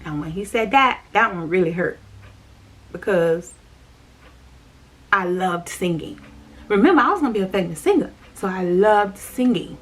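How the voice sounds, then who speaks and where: A woman's voice: medium pitch, lively intonation, moderate pace, long pauses, slightly rough. A woman chatting on her vlog, telling a personal church story. She repeats herself and talks straight to her viewers. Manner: chatty, repetitive, addresses viewers directly. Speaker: vlogger.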